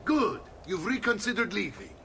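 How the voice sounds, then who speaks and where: Give the game a seasoned Italian uncle, firm, proud and instructive, with an Italian accent and old-fashioned phrasing. His delivery is very animated, heard a little away from the mic over clear noise. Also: sits high, normal speed, distant mic, full character voice. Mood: proud, pleased. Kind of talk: dramatic video game acting.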